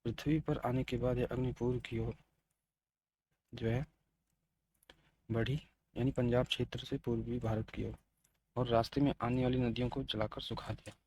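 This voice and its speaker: A man giving an exam-preparation lecture as a teacher, haltingly repeating and restating his key phrases. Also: medium pitch, steady tone, a little mellow, a little hiss or hum. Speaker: teacher of exam history lessons.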